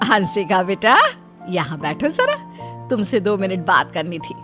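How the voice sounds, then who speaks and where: Cartoon mother-in-law, played by a woman: gentle, motherly, easily taken aback, lively intonation, music underneath. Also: medium pitch, measured pace. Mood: warm. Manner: coaxing, motherly. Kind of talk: cartoon family drama acting.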